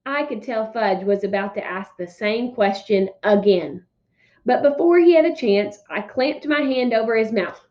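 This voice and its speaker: A woman with a fairly high voice speaks as a teacher in a read-aloud lesson. Her phrasing is emphatic and deliberate, pitched for children. The recording sounds slightly dull. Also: lively intonation, mellow. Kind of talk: instructional read-aloud, scripted narration.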